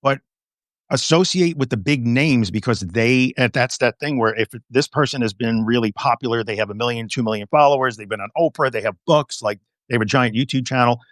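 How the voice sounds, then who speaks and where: A man talks candidly as a therapist guest, his voice sitting at a middle pitch. He speaks with blunt emphasis and steady conviction. The room sound is dry and close. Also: brisk, dry recording. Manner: frank and emphatic. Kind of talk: conversational interview.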